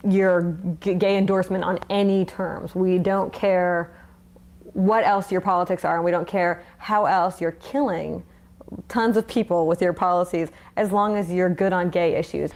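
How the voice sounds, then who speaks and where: A woman's voice: on the low side, fairly even intonation, moderate pace, a little mellow. A woman interviewed in an archive documentary, speaking hesitantly with a sarcastic edge. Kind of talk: interview talk.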